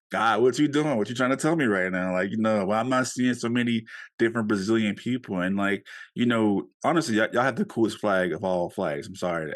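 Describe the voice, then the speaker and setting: A man's voice: on the low side, fairly bright tone, no room sound, studio quality. A man hosting a podcast, chatting in a laid-back, slangy way. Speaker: podcast host. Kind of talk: casual conversation.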